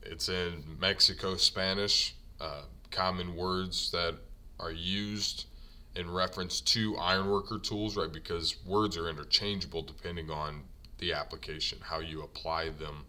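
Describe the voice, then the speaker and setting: Slow, deep-voiced man teaching trade words. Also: flat delivery, bright, forceful tone. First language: English. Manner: repeats each term slowly for learners. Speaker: ironworker union instructor. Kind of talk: slide-based vocabulary lesson.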